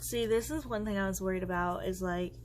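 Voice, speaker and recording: A woman's voice: mid-range voice, fairly quick, a little hiss or hum. A congested woman chatting casually and rambling as she crafts at home, her thoughts often trailing off. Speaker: planner vlogger. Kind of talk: casual chat while crafting.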